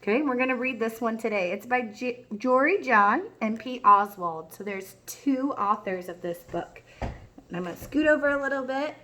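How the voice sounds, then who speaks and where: Woman, children's teacher: encouraging and chatty, slightly high bright voice, simple read-aloud lesson delivery. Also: normal speed. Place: at home, small child beside her.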